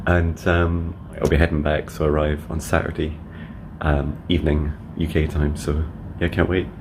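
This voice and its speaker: A man, a psychic mentor, giving soft meditation messages and rambling video diary updates full of ums. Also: very deep voice, little pitch movement, background noise. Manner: soft, slow affirmations; chatty with many ums in updates.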